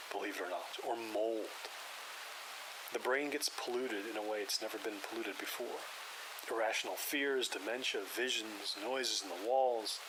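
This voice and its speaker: A man playing a calm, skeptical paranormal investigator in an audio drama, explaining in a measured, clinical way. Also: medium pitch, close to an everyday voice. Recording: hiss and rain noise.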